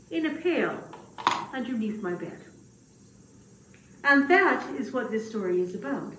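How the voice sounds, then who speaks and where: A woman voicing a gentle, humble old male grass cutter in a puppet show, speaking hesitantly and getting easily flustered. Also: high voice, full character voice. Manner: hesitant, halting, with many pauses. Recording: roomy, distant mic, faint noise.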